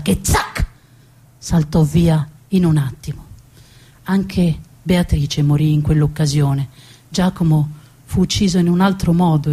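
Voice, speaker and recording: A woman playing a proud, defiant noblewoman who tells her own story as a dramatic, deliberate theatrical monologue with emphatic repetitions. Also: lowish voice, warm tone, husky. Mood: solemn, proud.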